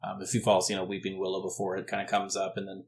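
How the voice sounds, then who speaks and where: A man runs a tabletop fantasy game in a fairly low voice. He improvises his descriptions in a halting way, often repeating and rephrasing as he builds the scene. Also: monotone, vocal fry. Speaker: game master.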